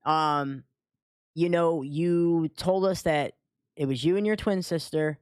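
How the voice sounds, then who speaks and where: A man hosting a casual discussion, asking questions with wordy, deliberate phrasing. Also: a little high, clean tone, no echo, studio quality. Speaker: host steering discussion.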